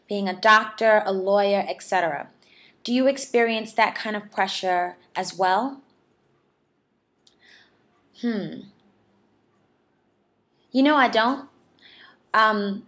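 A woman in her twenties from New York City, a model, chatting in a friendly way as she answers viewer questions. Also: mid-range voice. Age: 20s. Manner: friendly, chatty, laughs often. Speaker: model and charity ambassador.

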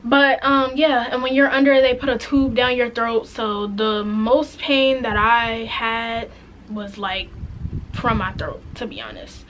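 Raspy young woman rambling to camera, kinda high voice, faint hiss behind. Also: steady tone, unhurried, fairly bright tone, poor audio. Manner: chatty, frank, many "like" and "um" fillers. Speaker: vlogger. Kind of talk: casual story-time vlog. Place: indoors, plain light wall, small room. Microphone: camera in front, head and shoulders.

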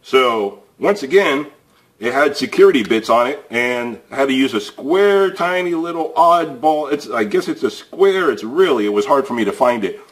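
A man's voice: mid-range voice, expressive, moderate pace, rough recording. A man hosting a casual hands-on repair tutorial, thinking aloud with fillers as he works in an indoor workshop. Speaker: DIY repair channel host. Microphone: camera overhead of workbench, voice off-screen.

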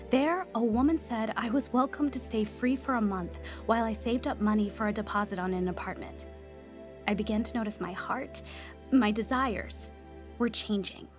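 A woman's voice: medium pitch, normal speed, natural voice. An actress playing an earnest young woman recovering from drugs and newly converted, in a radio drama. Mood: reflective. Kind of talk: first-person radio drama narration and dialogue. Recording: studio drama with music underneath.

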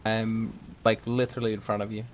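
A man's voice: lowish voice, little pitch movement, fairly quick. A man chatting casually and calling out to a friend while gaming, bantering and swearing freely. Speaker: gamer playing with a friend. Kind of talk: casual gaming chat, callouts. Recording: clear background game noise.

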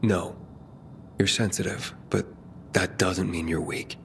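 An actor playing a guarded but kind male survivor in a video game, terse and understated, with a gravelly voice. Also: low-pitched, fairly even intonation, takes it slowly, slight background noise, exaggerated voice. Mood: earnest, reassuring. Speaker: game protagonist. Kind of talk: video game cutscene dialogue.

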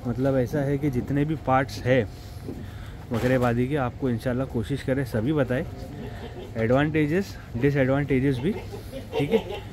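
A man's voice: medium pitch, normal speed, long pauses. A man, chatting casually to viewers as he shows goat farm work step by step. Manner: chatty, explains step by step, addresses viewers directly. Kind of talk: casual vlog narration. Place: goat farm and street, outdoors. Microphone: clip-on mic after setup. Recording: noisy outdoor street with traffic.

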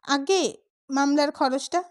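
Woman acting a meek, high-voiced court clerk. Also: takes it slowly. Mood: meek protest. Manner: polite, mildly protesting. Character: meek but grasping male court clerk.

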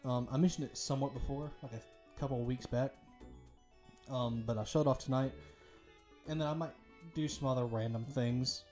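A man's voice: mid-range voice, steady tone, normal speed, mellow, soft background music. A man streaming a game, talking with lots of fillers, his voice strained from hours of reading dialogue aloud.

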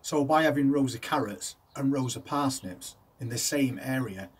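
A middle-aged man from the UK, hosting a gardening channel, explains how to grow vegetables in a steady, methodical way from inside a greenhouse. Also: medium pitch, normal speed, slightly rough. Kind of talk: instructional talk to camera. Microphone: camera set back at a distance. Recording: slightly distant camera audio with faint ambience.

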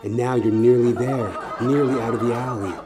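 A man narrating a horror story in a suspenseful, theatrical manner, with short deliberate phrases. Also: mid-range voice, fairly even intonation. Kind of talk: dramatic second-person horror story narration. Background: music.

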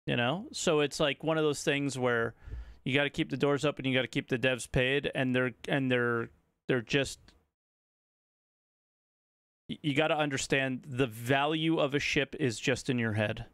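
A man's voice: medium pitch, fairly even intonation, moderate pace, a bit bright, smooth, no room sound. A man giving casual, conversational reaction commentary as a streamer, musing as he goes.

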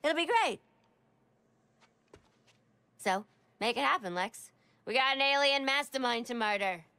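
A woman's voice: sits high, lively intonation, a little slow, bright, forceful tone, smooth, no echo, heavily acted. A woman playing a gleeful, manic, trigger-happy villainess in a video game cutscene, brash and slangy. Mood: cheerfully murderous.

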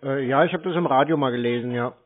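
A man's voice: mid-range voice, fast talker. A man speaking bluntly and insistently in an unscripted phone confrontation. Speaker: scam-baiting call host. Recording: tinny phone-like radio sound.

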